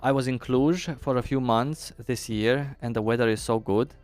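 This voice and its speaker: A man's voice: mid-range voice, fairly even intonation, normal speed, a little mellow, soft background music. A young man with a Romanian accent chatting casually with viewers on a live game stream, thinking aloud as he answers chat.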